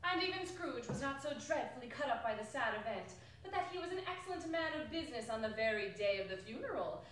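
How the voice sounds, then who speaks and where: A woman with a high voice narrates a classic tale in a staged dramatic reading. She sounds distant, in a very reverberant room with faint noise. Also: sing-song, quick pace, fairly bright tone. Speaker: stage reader in a theatre company. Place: arts center performance space, distant camera. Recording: echoey hall from far off, faint noise.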